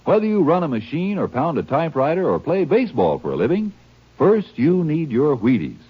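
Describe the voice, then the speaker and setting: Very animated, husky male radio pitchman. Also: a little fast. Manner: emphatic, folksy pitchman. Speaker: commercial announcer. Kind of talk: old-time radio ad read. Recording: muffled old radio recording.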